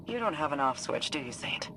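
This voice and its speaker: An actress playing a tough, wry deputy commander in a video game, brisk and dry under fire, over game music. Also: female voice, sits low, big pitch swings, pushed and bright, slight background noise, heavily acted, poor audio.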